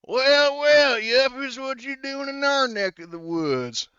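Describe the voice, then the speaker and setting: A man's voice: extremely high pitch, expressive, takes it slowly, pushed and bright, clean tone, no echo, highs cut off, pitched above the performer's own voice, heavily acted. A man voicing a suspicious rural redneck settler with folksy, drawling backwoods speech in a tabletop game. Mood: wary, challenging.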